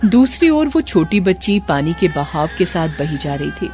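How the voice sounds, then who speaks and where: A woman's voice: on the low side, sing-song, normal speed, a little mellow, faint music behind. A woman narrator delivering dramatic, scripted storytelling for a cartoon.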